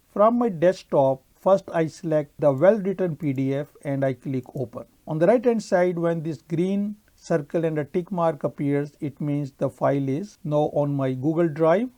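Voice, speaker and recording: A man whose first language is Urdu narrates a computer tutorial over his screen recording. He explains each click in a steady, methodical way. His voice is warm and mellow. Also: a little high. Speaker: software tutorial presenter.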